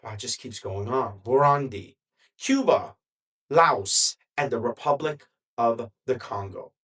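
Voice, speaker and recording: A man giving solo opinion commentary in a measured, deliberate way, with emphatic pauses between phrases. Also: mid-range voice, animated, a bit bright. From United States. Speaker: commentary vlogger.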